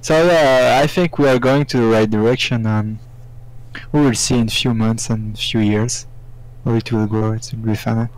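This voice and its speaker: A man from Belgium with a Belgian accent, not a native speaker, chatting casually with halting phrasing. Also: mid-range voice, fairly even intonation, rough recording. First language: not English. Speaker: live chat participant. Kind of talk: casual chat.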